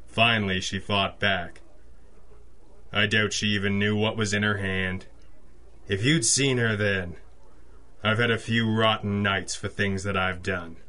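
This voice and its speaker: Man playing bright, forceful sardonic police lieutenant. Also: on the low side, steady tone, measured pace, long pauses. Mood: grim, bitter. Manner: curt, sardonic. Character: gruff, sardonic male police lieutenant, jaded, secretly merciful. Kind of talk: old-school radio detective drama.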